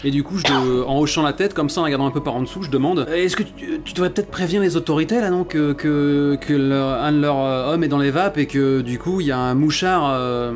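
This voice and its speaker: A man playing a character in a tabletop roleplaying game, with a playful, animated manner. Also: on the high side, brisk, few pauses, faint music behind. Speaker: player.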